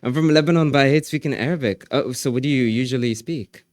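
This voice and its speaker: A man streaming live, casually reading and answering chat messages, often trailing off quietly at the ends of his phrases. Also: mid-range voice, fast talker, no echo, studio quality.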